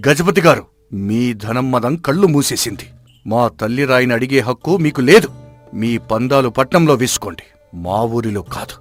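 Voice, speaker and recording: A man voicing a hot-headed, protective villager in an animated moral story, confrontational and accusing. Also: medium pitch, normal speed, natural voice. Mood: indignant. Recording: background music under the voice.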